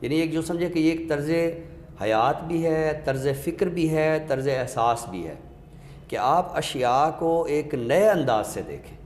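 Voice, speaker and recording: A man's voice: on the high side, lively intonation, unhurried, a little mellow. Man explaining literature in an echoey room. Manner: didactic, explains step by step with examples. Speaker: literary expert guest. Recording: echoey reverberant room with background noise.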